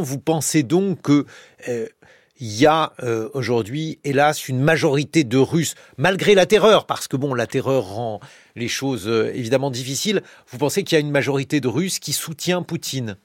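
A man interviewing an author for radio, asking long, well-formed questions and reading quotations aloud smoothly. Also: medium pitch, no room sound, studio quality. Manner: articulate, reads quotes aloud fluently.

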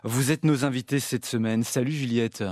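A man's voice: medium pitch, steady tone, moderate pace, darkish tone, no room sound. A man hosting a radio interview, reading polished, scripted introductions. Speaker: radio host.

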